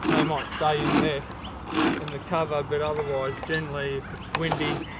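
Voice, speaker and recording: A man's voice: a little high, little pitch movement, moderate pace. A man informally explaining fieldwork while speaking outdoors. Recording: loud outdoor background noise.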